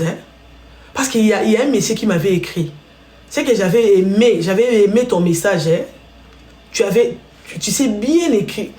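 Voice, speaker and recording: A woman's voice: mid-range voice, darkish tone, roomy sound. A Congolese woman hosting a live stream, chatting and telling stories straight to her viewers. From Congo (DRC). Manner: chatty, storytelling, addresses viewers directly. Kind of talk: casual live-stream chat.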